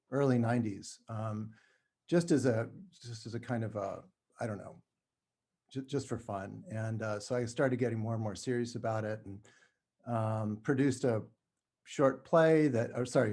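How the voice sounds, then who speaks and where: A middle-aged man from New Mexico being interviewed, talking casually and haltingly, often correcting himself. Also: medium pitch, vocal fry, warm tone. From New Mexico, United States. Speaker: podcast guest, writer.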